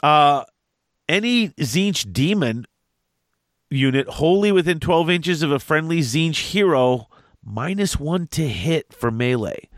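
A man with a stuffed-up, congested voice co-hosts a casual tabletop gaming podcast. His voice sits a little high, and the dry, close mic catches every word. He reads rules aloud in eager bursts and keeps the chat upbeat. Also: lively intonation, slow, no echo. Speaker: podcast co-host.

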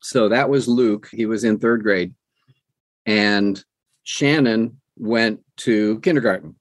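A man's voice: lowish voice, slow pace, no echo. A man in his sixties talking conversationally as an interview guest, in a folksy way with phrases repeated for emphasis. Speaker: interview guest, audiobook narrator.

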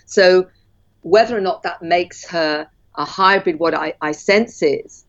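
A woman with a low-pitched voice explains her ideas in a measured way, often pausing on ums. Her voice comes through a dull, lo-fi line. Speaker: guest. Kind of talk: explanatory interview talk.

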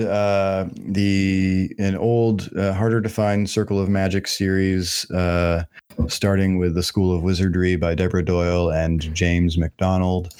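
A man in his thirties talks with a fairly low voice on a casual podcast. He rambles and hesitates, searching for the right words. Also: darkish tone, clear, resonant tone. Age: 30s. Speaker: podcast host.

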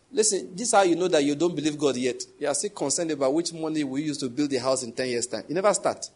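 Husky pastor preaching emphatically. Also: male voice, a little high. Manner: emphatic, repeats key phrases, asks the congregation questions. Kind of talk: sermon.

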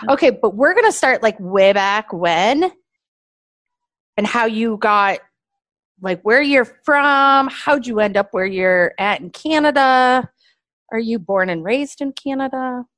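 A woman's voice: on the high side, animated, unhurried, fairly bright tone, dry recording. A woman hosting a podcast, interviewing in a casual, gushing and informal way.

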